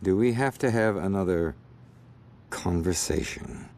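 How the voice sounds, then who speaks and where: A man's voice: deep voice, expressive, unhurried, gravelly, darkish tone, heavily acted. A man playing a cold, sardonic, middle-aged crime boss in a video game cutscene, speaking formally with dry sarcasm. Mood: stern.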